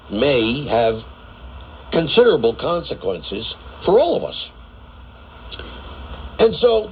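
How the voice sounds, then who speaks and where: A man's voice: mid-range voice, lo-fi sound. Emphatic male radio commentator on noisy shortwave. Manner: emphatic, deliberate delivery with dramatic phrasing. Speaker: radio news commentary host. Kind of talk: news commentary monologue. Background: shortwave radio static.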